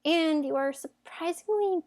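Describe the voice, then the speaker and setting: Young fairytale heroine female actor, high voice, outspoken and emphatic, amateur radio-play delivery. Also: a little slow, darkish tone. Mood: softer, sincere. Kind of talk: amateur audio drama acting.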